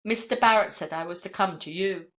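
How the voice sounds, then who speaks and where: A respectful young messenger boy, put on by a woman reader. He reports plainly and dutifully to his betters. The tone is bright and forceful, as character dialogue in an audiobook. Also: mid-range voice, fairly quick, lightly acted. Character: deferential messenger boy at a newspaper office.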